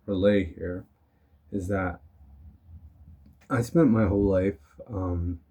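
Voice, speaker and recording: A young man talking casually in a rambling motivational vlog, his voice still hoarse from being sick. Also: fairly low pitch. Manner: relaxed, rambling, frequent "you know" and "um". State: hoarse from recent illness. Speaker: vlogger.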